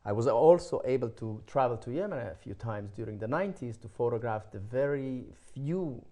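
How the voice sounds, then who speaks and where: An older man from Israel with an Israeli accent, telling his personal story conversationally as an interview guest, often halting and repeating words as he searches for phrasing. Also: mid-range voice, animated, warm tone.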